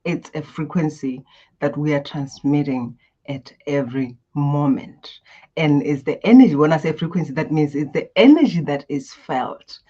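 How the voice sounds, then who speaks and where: Expressive woman. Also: sits low, breathy edge, muffled recording. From Botswana. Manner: expressive, guides listeners through breathing. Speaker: guest coach. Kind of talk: animated interview answers.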